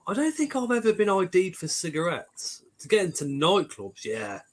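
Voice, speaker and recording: A man's voice: sits high, wildly swooping pitch, moderate pace. A man, hosting a live stream in casual chat, joking and swearing lightly as he reads out viewer comments. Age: 40s.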